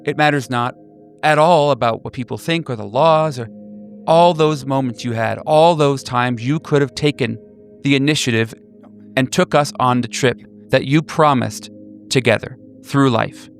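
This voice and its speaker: Wounded male former lover, acted by a man, gravelly, emphatic, halting, roleplayed phone drama. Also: mid-range voice, faint music behind, natural voice. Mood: bitter, wounded. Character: wounded, exasperated former lover, a man, firmly refusing reunion.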